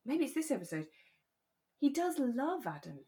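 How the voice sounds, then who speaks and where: A woman co-hosting a podcast, talking in a relaxed, reflective and agreeable way about a drama. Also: high-pitched, moderate pace. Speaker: podcast co-host. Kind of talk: relaxed discussion of a radio drama.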